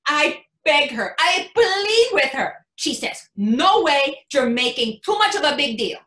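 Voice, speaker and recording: A woman's voice: high voice, very animated, unhurried, bright, forceful tone, full character voice. A woman playing an exasperated, overwhelmed mother in a theatrical reading, speaking emphatically. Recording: roomy, echoing sound.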